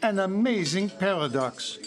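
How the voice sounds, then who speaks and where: High-pitched French-accented gentleman detective. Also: animated, unhurried. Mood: genial, explanatory. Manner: formal, precise, theatrical. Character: courteous, shrewd, self-assured foreign male detective. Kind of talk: live radio-style detective drama acting. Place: library performance before live audience. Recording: live stage recording with loud background noise.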